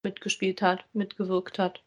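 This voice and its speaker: A woman's voice: medium pitch, monotone, moderate pace. A woman chats casually as a podcast co-host, talking in an effusive, enthusiastic way like a devoted fan. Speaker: co-host of a podcast about radio plays. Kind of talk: casual conversational chat.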